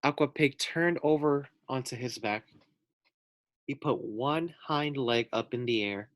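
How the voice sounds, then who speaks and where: Slow-paced man reading to children. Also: mid-range voice, no echo. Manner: deliberate, clearly phrased. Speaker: teacher reading aloud to first graders. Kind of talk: storybook narration for children.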